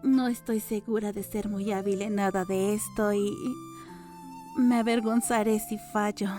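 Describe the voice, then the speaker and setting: A woman's voice: mid-range voice, fairly even intonation, measured pace, dark tone, natural voice. A woman voicing a serious, matter-of-fact young apothecary woman in an audiobook, earnest, blunt and deferential. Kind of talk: audiobook character dialogue. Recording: music under the voice.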